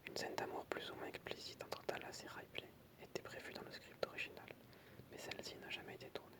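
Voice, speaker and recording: An ASMR creator whispering in French, reading aloud softly with informal, hesitant asides. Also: fast.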